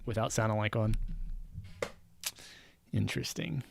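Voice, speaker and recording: A man's voice: on the low side, a little slow, creaky, noisy background. A man chatting casually on a game stream, rambling and wry, often trailing off with um and uh.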